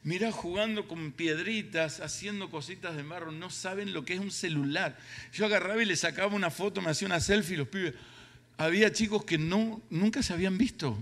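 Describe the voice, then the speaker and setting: A middle-aged or older man with an Argentine Spanish accent preaching emphatically, repeating phrases for effect. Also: high-pitched. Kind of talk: sermon. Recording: reverberant hall sound.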